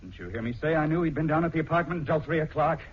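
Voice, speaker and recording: An actor playing a blunt, emphatic middle-aged husband in a 1940s radio drama. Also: male voice, on the high side, animated, rapid-fire, husky. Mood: indignant. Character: plain-spoken middle-aged husband, forceful, protective of his wife. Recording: dull 1940s radio recording.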